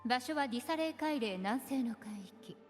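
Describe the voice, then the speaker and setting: A woman's voice: high-pitched, expressive, quick pace. An actress playing a composed, aloof woman informant in a video game, cool and matter-of-fact. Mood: cool, informative. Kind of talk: Japanese video game voice acting. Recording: reverberant game audio with music.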